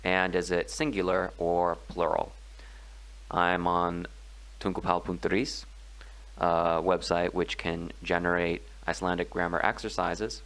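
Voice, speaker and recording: Male tutor, low voice, unhurried and hesitant delivery, talking through a grammar lesson. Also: flat delivery, a bit bright. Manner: slow, measured explaining with frequent ums and pauses. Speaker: language tutor. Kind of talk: screen-recorded grammar tutorial.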